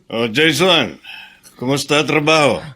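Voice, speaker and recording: A man's voice: on the high side, lively intonation, unhurried, bright, forceful tone, faint music behind. An actor playing a kindly, concerned Filipino male boss in a radio drama.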